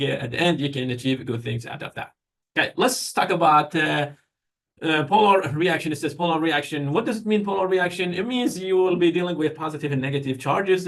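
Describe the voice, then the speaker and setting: A man's voice: on the high side, a bit bright. A man with a Persian accent, a native Persian speaker, giving an informal university lecture in a conversational way, putting questions to students and answering them.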